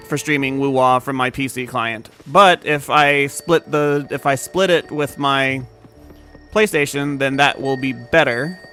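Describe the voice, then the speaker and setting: A young man talks loosely to his stream viewers in a bright, forceful voice, swearing casually and trailing off into fillers and restarts. Faint music plays underneath him. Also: mid-range voice. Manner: laid-back and profane, with frequent fillers and laughs. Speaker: game streamer.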